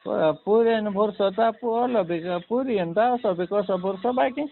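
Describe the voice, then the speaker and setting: A man with a creaky voice talking casually on a phone call in a rambling, repetitive way. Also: sits high, normal speed. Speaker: prank call participant. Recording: narrow phone line with faint noise.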